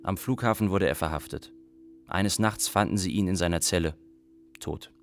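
A man's voice: deep voice, moderate pace. A man playing a young Iraqi refugee, narrating his flight in a literary radio play. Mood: narrative.

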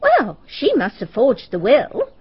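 A woman's voice: sits high, huge pitch swings, slightly rough, full character voice. A gossipy village woman. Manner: gossipy, brisk storytelling. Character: chatty village woman, Elspeth, gossipy and knowing. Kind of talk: BBC radio drama. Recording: dull archive radio recording.

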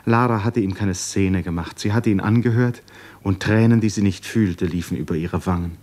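A male narrator with a fairly low voice delivers literary prose for a 1950s radio drama. He is solemn and articulate, letting dramatic pauses shape the telling. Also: darkish tone.

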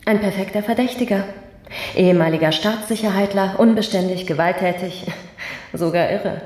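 An actress playing a ruthless, contemptuous security official in a radio drama, cold, menacing and sure of herself. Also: female voice, mid-range voice, normal speed, a little mellow, close to an everyday voice. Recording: roomy, echoing studio acoustic.

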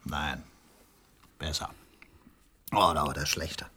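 An actor playing a touchy, evasive middle-aged ex-anarchist who justifies himself in a German radio crime play. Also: male voice, very deep voice, fairly even intonation, slow, bright, forceful tone, heavily acted. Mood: self-justifying.

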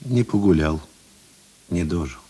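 A man acting a middle-aged, thoughtful and kind police investigator in a Russian radio play, husky and measured. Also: sits low, animated, dark tone, exaggerated voice. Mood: quiet, guarded. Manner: measured, self-deprecating, reflective.

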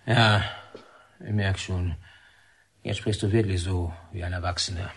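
A man's voice: sits low, fairly even intonation, unhurried, vocal fry, slight background noise. An actor playing an avuncular, well-meaning male warder, chatty and musing, in a naturalistic radio drama. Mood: resigned. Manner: hesitant, musing, conversational.

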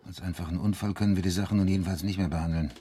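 A man acting a gruff detective in a radio drama, speaking low with a brusque, grumbling authority. There is faint noise behind him. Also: steady tone, very fast, warm tone, close to an everyday voice. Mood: dry, businesslike. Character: gruff, dry, commanding male police detective, middle-aged son.

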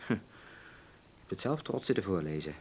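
A man's voice: sits low, very animated, a little fast, a little mellow, husky, exaggerated voice. An actor playing a cynical, defiant man in a wartime family radio play, with a blunt, sardonic manner. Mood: accusing.

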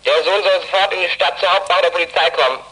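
A man's voice: high-pitched, steady tone, fast, bright, forceful tone. A man playing a sly young biker in a children's radio play, heard through a noisy CB radio. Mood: urgent, deceitful. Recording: noisy, clicking CB radio transmission.

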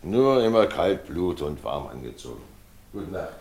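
Folksy dismissive visitor, extremely deep voice, very animated intonation, brisk radio drama delivery. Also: male voice, slightly rough, full character voice. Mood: dismissive, jovial. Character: brisk, plain-spoken male visitor brushing worries away. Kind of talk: German radio play acting.

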